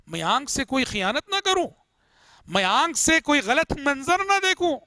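A middle-aged man delivering a religious lecture, slowly reciting Arabic prayers and explaining them with emphatic repetition, recorded on a headset mic indoors. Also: very high voice, sharp tone, clean tone, no room sound. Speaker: Islamic lecturer. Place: indoor room with curtained window.

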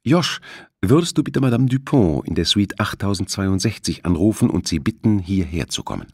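A polite, businesslike woman officer in an audiobook, read by a man. The voice is husky and dry, with lively movement as it courteously explains procedure. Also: sits low, normal speed, dry recording, voice pushed up, full character voice. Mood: friendly.

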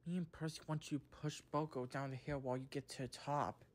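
A man's voice: on the high side, steady tone, a little fast, lightly acted. A man voicing a grumpy, scheming tank engine who complains petulantly in a children's story. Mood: conspiratorial.

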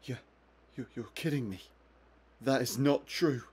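A man's voice: medium pitch, a little slow, a little mellow, slightly rough. An actor playing a distressed, confused man in his twenties in a video game, agitated and defensive. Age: 20s. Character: distressed, confused young man suspected by police. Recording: noisy with background ambience.

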